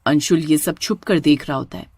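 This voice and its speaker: A woman's voice: sits low, fairly quick, a little mellow, slightly rough, no echo, clean studio sound. A woman narrator reading a scripted horror story.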